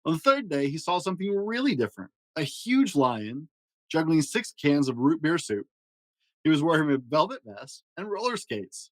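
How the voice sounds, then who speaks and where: A man narrating a children's story aloud in an expressive, animated way with dramatic pauses. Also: a little high, no echo, poor audio. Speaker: podcast host reading a children's storybook aloud.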